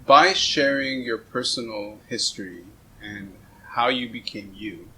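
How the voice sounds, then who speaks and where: A man's voice: medium pitch, a little slow, sharp tone, poor audio. A man hosting a casual, conversational interview in an effusive, complimentary way. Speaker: interviewer and show host. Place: on a houseboat, seated at a table. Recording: clear background noise and ambience.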